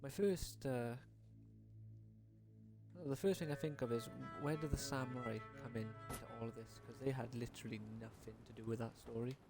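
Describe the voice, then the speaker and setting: A man's voice: mid-range voice, steady tone, moderate pace, music in the background. A young adult man giving casual, unscripted game commentary as a video creator, informal, tentative and rambling.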